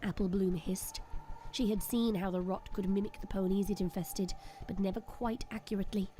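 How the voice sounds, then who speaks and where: A woman giving dramatic scripted story narration in a measured, suspenseful manner. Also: mid-range voice, fairly even intonation, mellow.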